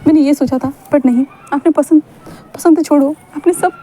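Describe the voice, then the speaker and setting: A woman's voice: high-pitched, steady tone, normal speed, mellow, lightly acted, low-quality recording. A woman voicing a young boy who stammers and pleads with an older man for his love. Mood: betrayed. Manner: pleading, stammering, repeats himself.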